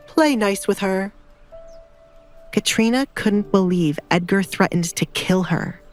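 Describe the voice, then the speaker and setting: A woman narrator reading a scripted romance drama audiobook. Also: mid-range voice. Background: background music.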